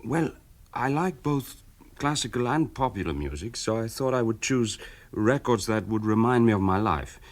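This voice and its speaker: An actor playing a modest German author and family man, gentle and a little hesitant, in a radio interview. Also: medium pitch, lively intonation, moderate pace, few pauses, natural voice. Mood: nostalgic, modest. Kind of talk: radio interview within a drama.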